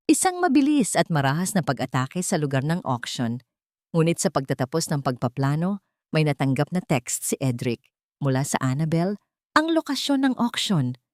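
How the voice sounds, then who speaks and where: A woman's voice: deep voice, sing-song, normal speed, a little mellow, no room sound, clean studio sound. A woman reading a dramatic romance story aloud as narrator. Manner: dramatic storytelling, reads all parts herself.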